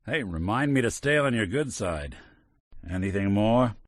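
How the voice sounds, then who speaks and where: A man's voice: fairly low pitch, animated, moderate pace, no room sound. An actor voicing a world-weary male robot detective in a game, talking in dry, hard-boiled wisecracks. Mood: wry. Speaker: game companion character. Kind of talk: video game voice acting.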